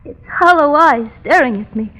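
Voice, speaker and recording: Anxious young woman, high-pitched, reverberant, muffled vintage broadcast. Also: lively intonation, moderate pace, fairly bright tone. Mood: frightened. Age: young adult. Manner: anxious, trembling. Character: frightened young heiress thought mad. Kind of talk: 1930s radio drama acting. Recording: dull, echoey old radio recording.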